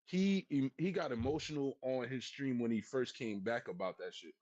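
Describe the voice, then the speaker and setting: A man's voice: medium pitch, moderate pace, a little mellow. Casual male guest, hesitant and laid-back, on a muffled mic. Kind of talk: casual livestream chat. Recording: dull lo-fi mic.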